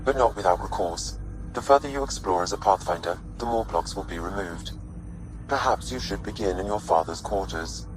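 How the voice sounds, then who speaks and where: An actor in a video game playing a courteous sentient artificial intelligence that speaks in a measured, formal, precise way. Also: male voice, deep voice, over music, natural voice, poor audio. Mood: measured, explanatory.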